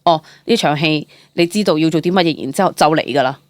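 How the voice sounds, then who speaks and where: Young woman, Hong Kong accent, low register, dry close recording; animated interview guest who drops in English words. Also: quick pace, no echo. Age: young adult. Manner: animated, laughs easily, mixes English words into speech. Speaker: guest, freelance stage and screen actor. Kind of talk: casual interview chat.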